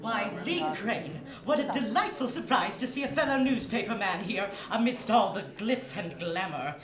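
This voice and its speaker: A man plays a pompous newspaper critic in old-time radio drama style. His voice sits high and he gushes with affected self-importance. The room around him is echoey. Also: expressive, normal speed, crowd noise. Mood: gushing, self-important. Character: pompous, gushing male newspaper theatre critic. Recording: echoey live studio stage.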